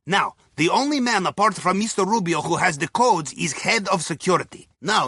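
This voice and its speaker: Husky, high-pitched Russian heist planner. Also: male voice, sharp tone, dry recording, clean studio sound, heavily acted. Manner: theatrical, clipped phrasing with dropped articles. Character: jovial, wily Russian submarine captain's heist planner. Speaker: mission guide in a video game. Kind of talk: video game character dialogue, mission briefing.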